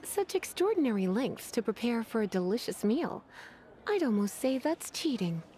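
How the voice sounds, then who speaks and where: Casting a coquettish, refined witch librarian for a game: highish, very expressive pitch, teasing delivery. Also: moderate pace, a little hiss or hum. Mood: pleased, teasing. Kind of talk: video game voice acting.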